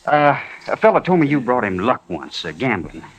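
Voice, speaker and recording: A man plays an earnest young rancher in a radio western. He has a mid-pitched voice and speaks in a hesitant, folksy, respectful way, like a worried protective brother. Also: sing-song, moderate pace, natural voice, low-quality recording. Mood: shy, pleading. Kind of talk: 1950s radio western acting.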